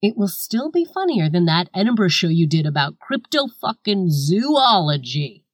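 A woman's voice: lowish voice, sing-song, normal speed, no room sound, clean studio sound. A woman playing a scathing, foul-mouthed comedian in a scripted comic argument, with a sarcastic, cutting delivery. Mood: exasperated. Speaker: guest comedian in a scripted satire sketch.

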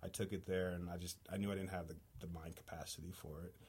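A man in his thirties from Haiti, a guest talking casually in an interview, relaxed with lots of fillers. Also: sits low, quick pace, husky. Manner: relaxed, laughs easily, many fillers.

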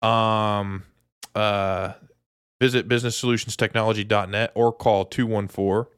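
A man's voice: fairly low pitch, steady tone, a little slow, clean tone, no room sound, clean studio sound. A man co-hosting a podcast, chatting casually about sports in informal, slangy buddy talk. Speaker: podcast co-host.

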